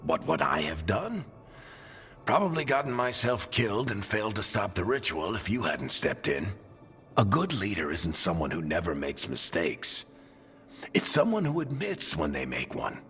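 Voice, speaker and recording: An actor playing a weathered veteran adventurer in a video game, a wry, folksy and reassuring mentor. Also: male voice, low-pitched, wildly swooping pitch, normal speed, fairly bright tone, slightly rough, heavily acted. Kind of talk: video game dialogue acting. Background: background music.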